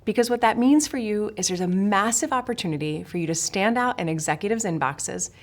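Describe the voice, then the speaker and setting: Woman sales coach from Switzerland; direct, emphatic instructional delivery to camera, middle pitch. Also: lively intonation, fairly quick. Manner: emphatic, direct, addresses viewer. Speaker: sales trainer. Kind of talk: scripted instructional talk to camera.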